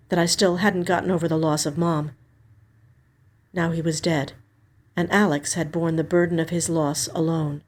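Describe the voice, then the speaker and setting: A woman reading a first-person mystery story as a scripted narration, measured and expressive. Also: fairly low pitch, slight background noise. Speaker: actor reading a short story.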